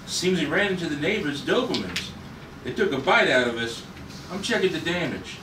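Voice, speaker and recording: Man playing an eager, high-voiced space co-pilot. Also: sing-song, normal speed. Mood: brisk, concerned. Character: eager young male space-ranger co-pilot, obedient and plucky. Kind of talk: table read of retro sci-fi serial. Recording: roomy, distant mic with loud noise.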